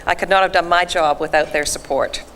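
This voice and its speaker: A woman presenting at an awards gala, reading a prepared introduction in a measured, formal way in an event hall. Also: on the low side, fairly even intonation, a bit bright. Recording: echoey hall with faint noise.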